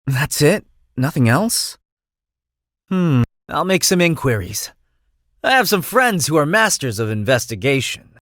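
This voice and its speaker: A man's voice: fairly high pitch, sing-song, unhurried, long pauses, a bit bright, dry recording, clean studio sound. An actor playing a chatty, idealistic young nobleman in a video game, breezy and theatrical in manner. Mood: casual concern.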